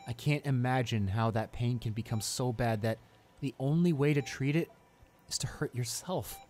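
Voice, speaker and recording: Devoted young boyfriend, played by a man, medium pitch, anxious and caring, halting repeated phrases, intimate audio drama. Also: a little mellow, faint music behind, close to an everyday voice. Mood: gentle, concerned. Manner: tender, halting, repeating himself in reassurance. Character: devoted, anxious young boyfriend comforting his hurt girlfriend. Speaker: voice actor.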